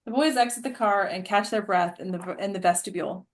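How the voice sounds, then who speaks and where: A woman with a medium-pitched voice reads stage directions from a screenplay. Her delivery is scripted narration, setting scenes and describing action. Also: brisk.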